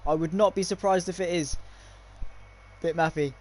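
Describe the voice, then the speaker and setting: High-pitched young man commentating on sim racing. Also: steady tone, moderate pace, a little mellow. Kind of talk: live esports race commentary with casual chat.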